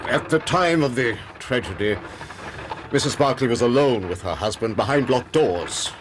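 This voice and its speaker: An actor as a formal, guarded English army major. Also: male voice, mid-range voice, animated, normal speed, background noise, natural voice. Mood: grave. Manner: clipped, formal, earnest. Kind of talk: period radio drama acting.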